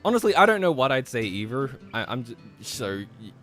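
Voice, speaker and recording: A man's voice: medium pitch, sing-song, a little fast, a bit bright. A young adult man from Australia chatting casually on a game stream with friends and reading aloud. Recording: music behind the voice.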